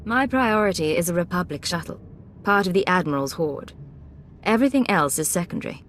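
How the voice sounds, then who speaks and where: An actress playing a self-assured secret agent in a video game, with a controlled, clipped, dry delivery. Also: female voice, fairly low pitch, expressive, fairly quick, a little hiss or hum, natural voice. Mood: businesslike. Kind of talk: video game spy acting.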